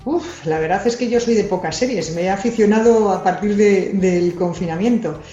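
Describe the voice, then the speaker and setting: A woman's voice: medium pitch, moderate pace, dark tone, faint music behind. A woman from Madrid, a doctor and science communicator, answering quiz questions in a chatty, candid way. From Madrid, Spain. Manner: chatty, candid, self-deprecating. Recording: roomy, dull lo-fi recording.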